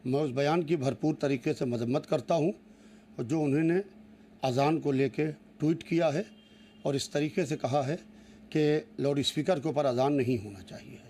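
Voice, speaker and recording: A man's voice: on the high side, moderate pace, dark tone, a little hiss or hum. A man making an emphatic, rhetorical statement for the news as a cleric. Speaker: cleric interviewee. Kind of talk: spoken statement to news camera.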